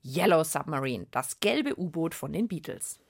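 Eine Frau mit süddeutscher Färbung, die als Moderatorin eines Kinderpodcasts anschaulich plaudert und erklärt. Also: female voice, sits low, animated, moderate pace, gravelly, slightly rough, no room sound, clean studio sound. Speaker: host of children's science podcast. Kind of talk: lively explanatory chat.

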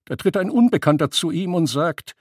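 A man's voice: on the high side, expressive, normal speed, dry recording, studio quality. A man reading scripted literary narration as a sober storyteller.

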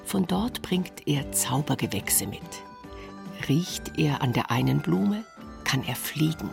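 A woman reading scripted narration for a radio documentary, measured and softly spoken, over a music bed. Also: deep voice, expressive, gravelly.

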